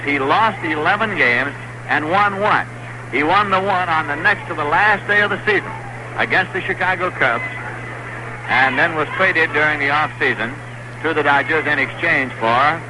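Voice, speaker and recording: An American man calling baseball play-by-play in a 1960s radio style, on a noisy old broadcast. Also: fairly high pitch. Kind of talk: 1960s radio sports commentary.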